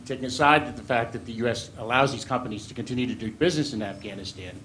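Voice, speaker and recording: Guy asking a long lawyerly question at an event, halting, formal, bit of background noise. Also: medium pitch, fairly bright tone. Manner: halting, deliberate, legalistic.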